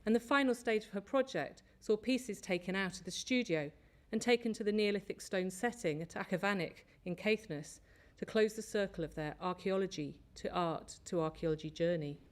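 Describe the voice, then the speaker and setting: A woman presenting at an academic conference, reading from prepared notes in a measured way with small slips. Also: medium pitch. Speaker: conference speaker, lecturer. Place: lecture hall.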